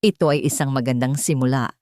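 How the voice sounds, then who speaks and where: A woman's voice: extremely low pitch, steady tone, brisk, a little mellow, no echo, clean studio sound, pitched below the performer's own voice. A woman voicing a stern, unemotional female lawyer in her forties in a dramatic story, blunt and probing. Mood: measured.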